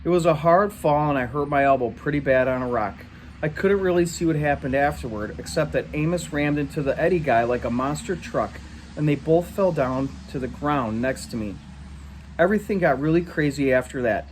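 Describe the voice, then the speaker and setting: Male story reader, fairly high register, animated narration, slight background noise. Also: fairly quick, low-quality recording. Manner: animated, acts out dialogue in shouts. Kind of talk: read-aloud story narration.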